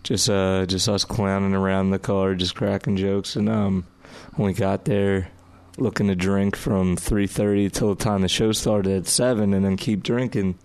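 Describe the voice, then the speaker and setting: A hoarse young man, co-hosting a casual podcast chat in a laid-back, halting way with many fillers. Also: low voice, little pitch movement, darkish tone. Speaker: podcast co-host. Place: home room, no headphones.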